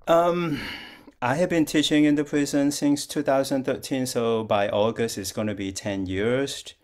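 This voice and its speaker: An older man from Vietnam, a Buddhist monk, calmly giving a dharma talk over a video call, speaking slowly and patiently with frequent restarts. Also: medium pitch. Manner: calm, slow and patient, with restarts. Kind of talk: dharma talk answering questions. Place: plain-walled room at home.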